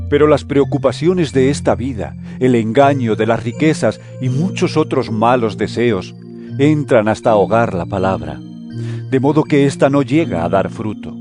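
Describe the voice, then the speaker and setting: Solemn male preacher figure over music. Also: medium pitch. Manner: solemn, deliberate, declamatory. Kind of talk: dramatized audio Bible acting. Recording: music under the voice.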